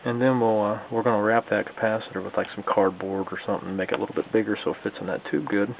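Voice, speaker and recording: Gravelly man on a thin, lo-fi recording. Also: lowish voice, steady tone, fast. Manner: folksy, informal, thinking aloud. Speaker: hobbyist radio restorer and video host. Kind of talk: casual hands-on demonstration for viewers. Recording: lo-fi, phone-like band, slight background noise.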